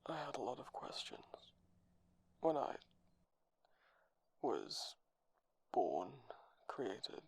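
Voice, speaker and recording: Earnest, tender computer boyfriend for ASMR roleplay, acted by a man: husky and creaky, soft, halting. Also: mid-range voice, big pitch swings, pitched below the performer's own voice, full character voice. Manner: halting, sincere, soft-spoken. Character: gentle, earnest male robot computer boyfriend. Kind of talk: ASMR roleplay, whispered character speech.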